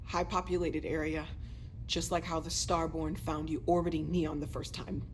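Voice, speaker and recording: An actress playing a serious, practical woman explorer in a game cutscene, measured and matter-of-fact. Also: deep voice, flat delivery, slight background noise, natural voice. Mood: serious, focused. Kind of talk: video game cutscene acting. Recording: faint music behind the voice.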